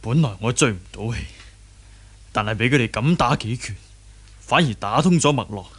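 An earnest young wuxia hero, wounded but steadfast. His Hong Kong Cantonese voice is very husky and breathy, in the manner of radio drama. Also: mid-range voice, normal speed, fairly bright tone. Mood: calm, concerned. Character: earnest injured young martial arts hero. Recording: early 1980s radio drama recording.

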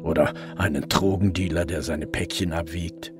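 An actor playing a brooding middle-aged police detective, narrating his case in a measured way for a crime radio drama. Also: low voice, steady tone, close to an everyday voice. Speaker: narrator and lead investigator. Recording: music bed under voice. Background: music.

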